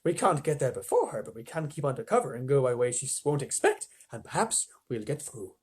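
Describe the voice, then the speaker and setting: A parent reading a storybook aloud, voicing a brisk, motherly female beaver who calls others dearie. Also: mid-range voice, big pitch swings, darkish tone, slightly rough. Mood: soothing.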